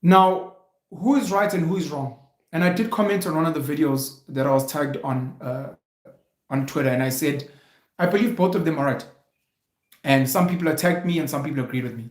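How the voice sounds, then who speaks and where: A South African man giving unscripted opinion commentary as a vlog host, speaking in a deliberate, emphatic, explanatory way. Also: on the high side. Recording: echoey room.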